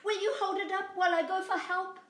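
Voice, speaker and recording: A woman's voice: very high voice, fairly even intonation, fairly quick, a bit bright, echoey room, pitched above the performer's own voice. A woman voicing a small, sweet but crafty female lamb in a bleating, pleading singsong for a children's storybook. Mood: urging.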